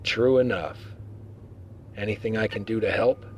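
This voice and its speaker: A man's voice: fairly low pitch, normal speed, a little hiss or hum, close to an everyday voice. A man playing a stern, wry acting starship captain in a science-fiction audio drama, with a dry, commanding manner. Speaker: voice actor in fan audio drama.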